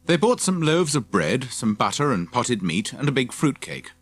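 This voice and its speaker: A man's voice: mid-range voice, big pitch swings, moderate pace, no echo. A man reading scripted children's story narration as an audiobook narrator.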